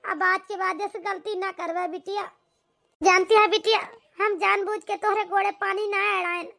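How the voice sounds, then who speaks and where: A woman voicing a humble, apologetic old village mother in an amateur cartoon, with an Awadhi accent, a pleading manner and a pitched-up voice. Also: steady tone, moderate pace, vocal fry, sharp tone, full character voice. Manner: pleading, repeatedly addressing her daughter tenderly. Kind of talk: amateur cartoon comedy-drama acting.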